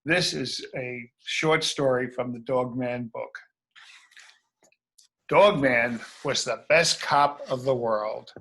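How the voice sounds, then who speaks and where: A man reading a storybook aloud to schoolchildren in a deliberate, emphatic, expressive way. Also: mid-range voice. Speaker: children's storybook reader.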